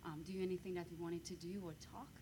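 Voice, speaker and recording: Woman guest speaker, Hungarian accent, quick lively storyteller, lowish voice, faint rumble behind. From Romania. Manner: lively storytelling, bursts of emphasis. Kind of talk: personal testimony on stage.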